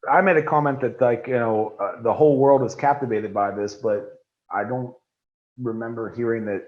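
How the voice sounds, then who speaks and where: A laid-back man with an American accent that drifts between a Southern lilt and Swedish touches. He rambles casually at a middle pitch. His voice comes through dull and lo-fi over a remote call. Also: steady tone, normal speed. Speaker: guest, metal singer. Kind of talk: casual chat.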